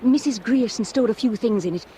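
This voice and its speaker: An actress playing a worried, cautious young woman who speaks softly in an old radio drama. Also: on the high side, a little fast, slight background noise, natural voice, rough recording. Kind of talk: 1960s radio drama acting.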